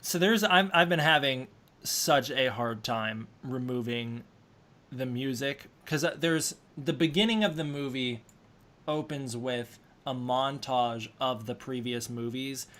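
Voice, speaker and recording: A man's voice: medium pitch, measured pace, a bit bright. Eager young man, easy laugh. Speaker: puppeteer and voice actor. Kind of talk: casual chat.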